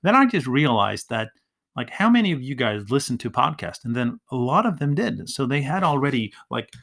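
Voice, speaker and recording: A Swedish-accented man, a teacher whose first language is Swedish, talks in a loose, stop-start way, circling back and restarting phrases. His voice sits at an ordinary mid pitch. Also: sing-song, fairly quick, a bit bright. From Sweden. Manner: restarts a lot, acts out classroom dialogue. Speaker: ESL teacher, podcast guest. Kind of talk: casual interview conversation.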